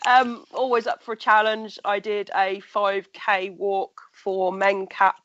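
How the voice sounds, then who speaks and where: A woman's voice: mid-range voice, little pitch movement, slow, fairly bright tone, no echo, highs cut off. A woman guest with a blocked, nasal voice, chatting casually in an online radio interview.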